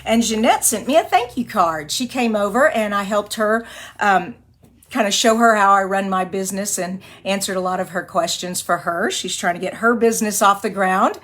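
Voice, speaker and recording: A woman from Texas hosting a live card-making tutorial, her voice a little raspy from singing and allergies, who rambles, apologizes often and laughs easily. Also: mid-range voice, normal speed, fairly bright tone. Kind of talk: live-stream craft tutorial, chatty.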